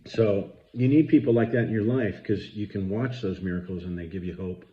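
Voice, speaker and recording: A man's voice: on the low side, brisk, highs cut off. A man, talking earnestly and rambling with many fillers in a casual spoken reflection. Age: 50s. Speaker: vlogger.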